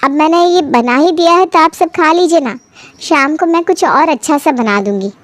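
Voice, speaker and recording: A dutiful young daughter-in-law, played by a woman in a cartoon story. She is gentle and placating, with a high voice, recorded close and dry. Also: moderate pace, no echo, close to an everyday voice. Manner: polite, placating. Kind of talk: cartoon family story acting.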